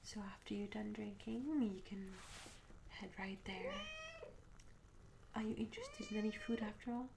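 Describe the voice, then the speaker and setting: A woman voicing a shy, curious young medieval tavern maid in a soft-spoken, half-whispered ASMR roleplay, gentle and hesitant with formal old-fashioned wording. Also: medium pitch, wildly swooping pitch, moderate pace, creaky, pauses often, background noise. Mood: gentle, hospitable. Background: tavern ambience sounds.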